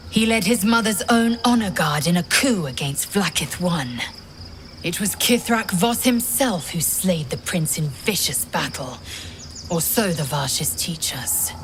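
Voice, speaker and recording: A woman's voice: lowish voice, noisy background. A woman playing a fervent, stern alien warrior in a video game, speaking in solemn, clipped declarations. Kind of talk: dramatic game dialogue.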